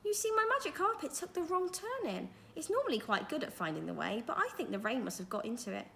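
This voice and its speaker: A woman's voice: sits high, very animated, fast talker, vocal fry, fairly bright tone, slight background noise, voice pushed up, heavily acted. A woman voicing a polite, cheery old male magician who arrives as a new teacher. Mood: apologetic.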